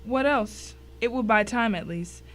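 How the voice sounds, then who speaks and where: A woman's voice: fairly high pitch, a little slow, slight background noise, lightly acted. Woman narrating as a cornered, down-on-luck tennis pro. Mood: calculating. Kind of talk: first-person dramatic story narration.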